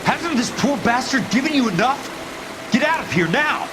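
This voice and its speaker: An actor playing a tough, protective ex-marine sergeant in a video game, terse and commanding. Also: male voice, very high voice, lively intonation, normal speed. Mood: urgent, commanding. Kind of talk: dramatic video game voice acting. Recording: clear background water and vehicle noise.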